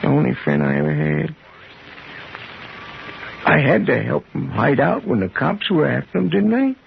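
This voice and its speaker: A man's voice: on the high side, huge pitch swings, moderate pace, creaky, full character voice. An actor playing a loyal, simple, lonely man with a grim secret in a 1950s radio drama. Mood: mournful, confiding. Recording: muffled, hissy old radio recording.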